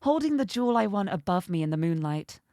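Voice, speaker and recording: A woman's voice: medium pitch, sing-song, fast, mellow, no room sound, studio quality. Woman playing a cheeky young phantom thief. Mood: playful. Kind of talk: first-person visual novel narration.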